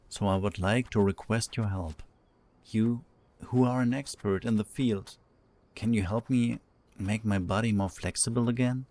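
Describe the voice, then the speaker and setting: A man's voice: lowish voice, darkish tone, lightly acted. A man playing an introspective, sluggish young man in an audio drama, musing to himself in a politely formal way. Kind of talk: audio drama acting, inner monologue.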